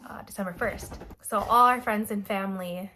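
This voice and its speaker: A young woman talks to her camera at home, her voice a touch nasal and stuffy as she gets over a cold. She rambles along casually, filling pauses with "um" and "like". Her pitch sits in an ordinary middle range. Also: bright, forceful tone, slight background noise. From Wisconsin, USA. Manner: chatty, rambling with many fillers like "um" and "like". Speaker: vlogger. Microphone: camera mic.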